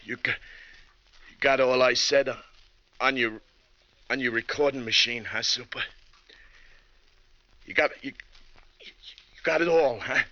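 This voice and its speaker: An actor in a 1940s radio drama playing a desperate, unhinged killer who poses as a bus driver, menacing and overwrought. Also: male voice, mid-range voice, a little slow, long pauses, pushed and bright, dry recording. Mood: broken, rambling. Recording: muffled old 1940s radio recording.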